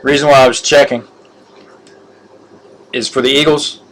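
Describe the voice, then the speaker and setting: A middle-aged man hosting a live card-opening stream, talking in a flat monotone with frequent fillers and restarts. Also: mid-range voice, slight background noise, poor audio. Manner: monotone, halting with many fillers. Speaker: card break host. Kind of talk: casual live-stream commentary. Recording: live stream with music behind. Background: music.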